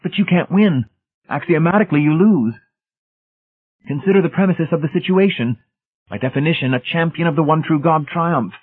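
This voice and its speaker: A man's voice: fairly high pitch, normal speed, breathy edge, voice pushed up. Through a thin phone-like band comes a smug young zealot, acted out by a male narrator. He declaims in a raised, sanctimonious voice, as pompous storybook villains do. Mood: triumphant, incredulous.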